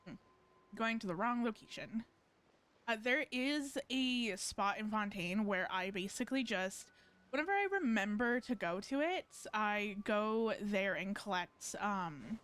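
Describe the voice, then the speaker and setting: A woman's voice: mid-range voice, animated, measured pace, a bit bright, clean tone. A woman giving casual live-stream commentary on a game, rambling and thinking aloud as she plays.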